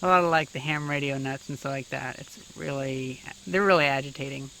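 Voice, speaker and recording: A man's voice: medium pitch, fairly quick, vocal fry, pushed and bright. A man giving casual hobby vlog narration in a tentative, self-deprecating way outdoors. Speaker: vlogger. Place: outdoors in rural countryside. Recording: noisy outdoor field recording.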